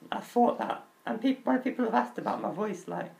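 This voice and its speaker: A young man with a crackly, high-pitched voice, talking openly and earnestly about himself, with halting restarts. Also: vocal fry, a little mellow. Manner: open and earnest, halting restarts, nervous laughs. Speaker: vlogger. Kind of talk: candid personal talk.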